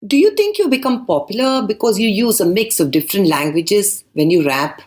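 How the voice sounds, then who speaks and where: A woman's voice: mid-range voice, animated, moderate pace, a little mellow. A woman hosting a podcast who asks her guest brief, direct questions. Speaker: podcast host. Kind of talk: interview questions.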